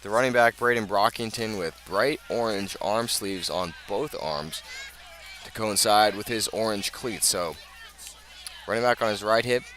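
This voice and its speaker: Bright-voiced American man calling live football. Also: lowish voice. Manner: measured scene-setting, rising to urgent calls. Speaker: play-by-play sports announcer. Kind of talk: live radio football commentary.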